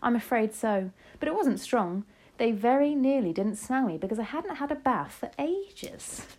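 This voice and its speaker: Woman voicing a young boy, earnest and shaken, pitched up for a storybook read-aloud. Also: very animated, normal speed, a little mellow.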